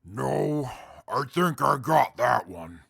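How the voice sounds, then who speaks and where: A man playing a huge, dour wasteland mutant in a radio drama, speaking in a formal, deliberate, stiff way. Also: medium pitch, expressive, vocal fry, fairly bright tone, slightly rough, no echo, clean studio sound, full character voice. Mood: formal, reserved. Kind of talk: post-apocalyptic radio drama acting.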